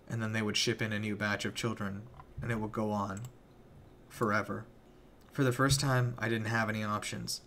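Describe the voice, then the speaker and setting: A man's voice: on the low side, a bit bright, a little hiss or hum. A man giving an intense, theatrical dramatic reading of a first-person horror story in a grave manner. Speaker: narrator.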